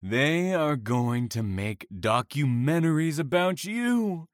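A man performs a smug serial killer for an audio drama. He is coldly menacing and theatrical, drawing out his words slowly with mocking formality. His pitch swoops widely, and the sound is close and dry. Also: lively intonation, dry recording. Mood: gloating.

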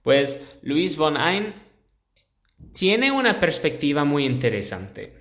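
Slow, hesitant young man teaching. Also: a little high, very animated, fairly bright tone. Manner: slow, hesitant, pauses to search for words. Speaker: podcast host. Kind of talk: solo podcast explaining to learners.

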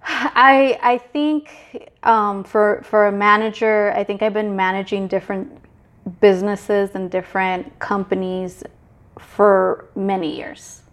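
A middle-aged woman from Mexico, chatting as a guest in a casual interview, relaxed, with many fillers and restarts. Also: medium pitch, slow. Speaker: guest, business manager.

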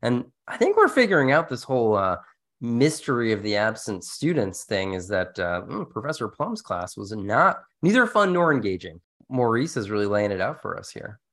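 A man with a fairly low voice co-hosts a conversational podcast. He talks informally, explaining ideas and dropping in casual, joking asides. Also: big pitch swings, normal speed, a bit bright. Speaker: podcast co-host. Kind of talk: conversational podcast discussion of teaching tips.

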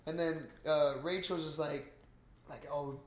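A man co-hosting a casual podcast, retelling a film in slangy, swear-heavy, animated talk. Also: on the high side, measured pace, crowd noise. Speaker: podcast co-host. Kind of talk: casual movie recap chat. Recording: roomy, echoey sound.

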